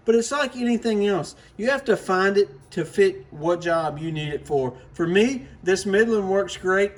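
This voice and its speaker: A man with a distinctly high-pitched voice talks casually to camera about gear. He is folksy and plain-spoken, presenting like a hobbyist chatting through a product.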